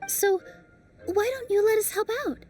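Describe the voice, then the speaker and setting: A woman voicing an earnest young traveler, gentle and peacemaking, in a game cutscene. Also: very high voice, normal speed, far from the mic. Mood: coaxing. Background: music.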